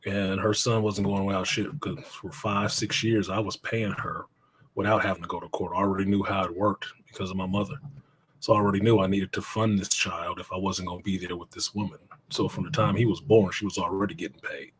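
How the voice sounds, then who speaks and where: A man's voice: low-pitched, little pitch movement, brisk, background noise. A middle-aged man speaking as an interview guest, telling his own story in a blunt, plainspoken way and cursing now and then.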